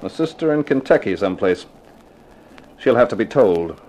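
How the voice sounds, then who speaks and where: Deep-voiced, terse hard-boiled private eye. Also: male voice, sing-song, normal speed, a little mellow. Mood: curt, defiant. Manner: terse, poker-faced, sardonic. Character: tough, guarded hard-boiled private eye, narrating his case. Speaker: actor playing detective and narrator. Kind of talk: 1950s radio crime drama acting. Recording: noisy old 1950s radio recording.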